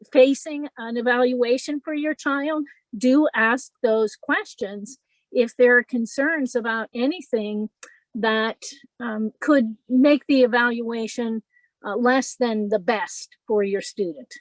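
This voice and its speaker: Higher-voiced woman presenting a webinar. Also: slow pace, dry recording, low-quality recording. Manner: friendly, many fillers and restarts. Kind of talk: casual explanatory webinar talk.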